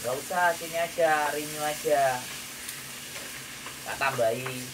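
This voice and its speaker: A man's voice: on the high side, slow. A man with a Javanese accent giving advice in an emphatic, lecturing chat, often checking with rhetorical questions. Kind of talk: casual counselling chat. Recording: distant mic, noisy kitchen with frying.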